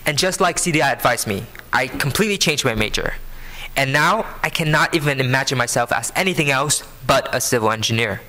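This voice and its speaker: A man in his early twenties with a Vietnamese accent, giving an earnest prepared speech to an audience, phrasing deliberately. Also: mid-range voice, expressive, bright, forceful tone. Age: early 20s. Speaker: scholarship program student speaker. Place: live benefit event before an audience.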